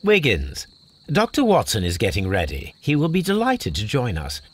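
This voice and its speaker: A brilliant Victorian detective for a video game, English-accented and self-assured. His mid-pitched voice swings expressively, with precise, formal phrasing and a dry, teasing wit. Faint ambient noise sits behind him. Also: huge pitch swings, moderate pace. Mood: composed, courteous.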